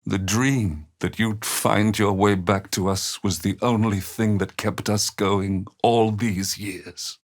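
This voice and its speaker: Weary gentle old dad in a fantasy game, deep voice, really slow, halting, solemn and tender, very devout. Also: male voice, steady tone. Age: older. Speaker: video game voice actor. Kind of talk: dramatic video game character dialogue.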